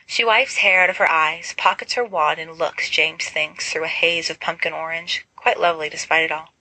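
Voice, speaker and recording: A woman reading fan fiction aloud as an expressive amateur audiobook narrator. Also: deep voice, moderate pace, dry recording, poor audio. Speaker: volunteer audiobook reader.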